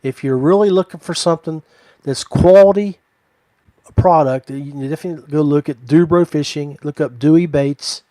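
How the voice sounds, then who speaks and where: A man's voice: a little high, moderate pace, mellow, no room sound. A man hosting a podcast in casual chat, with a folksy way of addressing his listeners. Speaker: podcast host. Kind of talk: casual chat about fishing gear.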